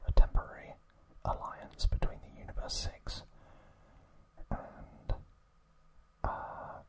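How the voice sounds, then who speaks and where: An ASMR host whispering softly and gently in a breathy, unvoiced voice, recapping trivia in an unhurried way. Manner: soft, gentle, unhurried storytelling.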